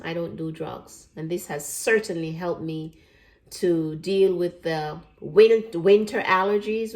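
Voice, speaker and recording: Low-voiced older woman in an echoey room. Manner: relaxed and chatty, repeats herself and walks through steps. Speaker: retired nurse sharing a home remedy. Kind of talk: casual home demonstration video. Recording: echoey room with faint background hiss.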